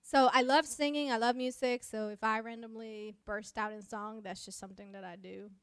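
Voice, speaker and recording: A woman's voice: a little high, fairly even intonation, moderate pace, clean tone, dry recording, studio quality. A woman from Mexico with a light Spanish accent, Spanish her first language, chatting as a podcast co-host and pastor, laughing often and speaking with passion. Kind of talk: casual chat.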